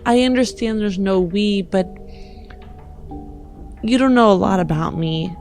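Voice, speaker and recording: A woman playing an earnest, protective technomancer in a science fiction tabletop game, speaking with measured, hesitant pauses. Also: medium pitch, darkish tone, faint music behind, close to an everyday voice. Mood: pleading. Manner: measured, hesitant pauses, pleading yet firm.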